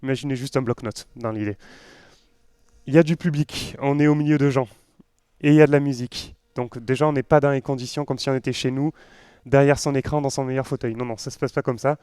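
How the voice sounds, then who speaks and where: A man's voice: medium pitch, steady tone, fast talker, a little mellow, clean tone, dry recording, clean studio sound. A man with a southern French accent giving an informal tech talk to an audience, conversational and full of joking asides. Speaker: conference speaker, web developer.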